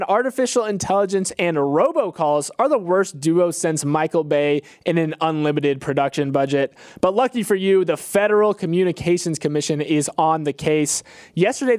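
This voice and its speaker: Clear, higher-pitched man hosting. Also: darkish tone, no echo, studio quality. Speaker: podcast co-host. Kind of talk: news podcast commentary, partly scripted.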